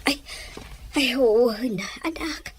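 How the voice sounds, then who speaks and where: Woman acting a husky provincial mother, Filipino accent. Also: on the high side, expressive, takes it slowly, heavily acted. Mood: worried, coaxing. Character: fond, pleading provincial mother of a grown daughter. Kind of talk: Ilocano audio drama acting.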